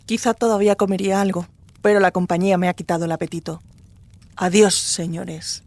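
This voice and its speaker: A woman acts out a defiant imprisoned sorceress for a fantasy audiobook. Her voice sits slightly low and is recorded dry and close. She speaks with scornful, cutting pride. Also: fairly even intonation, brisk, a little mellow, no room sound. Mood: cold scorn. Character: defiant captive sorceress, sharp-tongued and proud. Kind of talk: audiobook drama acting.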